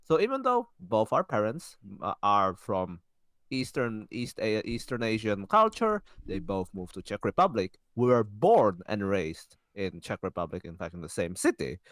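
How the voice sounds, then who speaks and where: A young man co-hosting a podcast, speaking casually in halting sentences with many restarts and fillers. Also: lowish voice, very animated, fairly bright tone, no room sound. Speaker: podcast co-host. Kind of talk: casual conversational chat.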